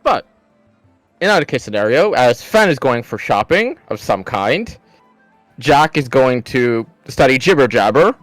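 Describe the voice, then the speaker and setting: A man's voice: medium pitch, very animated, a little slow, no room sound, faint music behind. A man running an online tabletop game as game master, chatting casually, teasing and swearing lightly. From Mexican family background.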